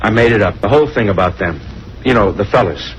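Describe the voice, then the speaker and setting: A man's voice: lowish voice, fast talker, natural voice, rough recording. An actor playing an evasive, defensive salesman under police questioning in a 1950s radio drama, hesitant and protesting. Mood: pleading. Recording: old radio recording, faint noise.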